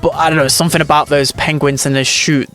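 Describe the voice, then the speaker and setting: A chatty man with a fairly high voice delivers casual, opinionated commentary as a video host. He talks informally and likes to exaggerate his opinions. Faint music sits behind him. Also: flat delivery, fast, fairly bright tone.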